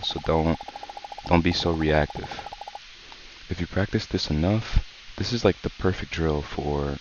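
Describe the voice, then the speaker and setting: A man hosting a gaming channel, sick with a sore throat and talking calmly and softly as he coaches through a tutorial. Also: a bass voice. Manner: calm, slow, soft-spoken coaching. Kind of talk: casual tutorial commentary. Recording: dull lo-fi mic with faint hiss.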